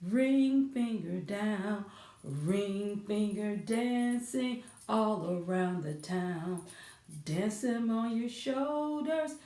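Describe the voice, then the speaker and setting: A woman's voice: medium pitch, animated, extremely slow, warm tone. A woman presenting a children's storytime with rhymes and stories, in a sing-song, coaxing way that invites children to join in. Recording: roomy, echoing sound, distant from mic.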